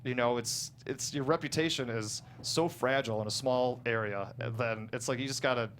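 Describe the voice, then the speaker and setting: A man's voice: mid-range voice, normal speed, fairly bright tone, a little hiss or hum. Rambling, self-deprecating man in casual conversation. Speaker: guest screenwriter.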